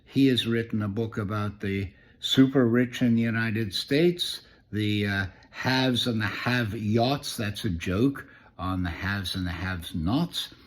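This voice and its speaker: A man who grew up in New York City, hosting a spoken newspaper review in a conversational way, often pausing with "uh" and restarting phrases. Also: fairly low pitch. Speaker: host of a press review. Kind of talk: casual spoken press review.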